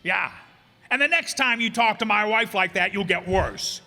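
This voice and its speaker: A man's voice: sits high, moderate pace, bright, forceful tone, exaggerated voice. An actor playing an angry, threatening husband in a radio drama, belligerent in his delivery. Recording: echoey hall, music behind.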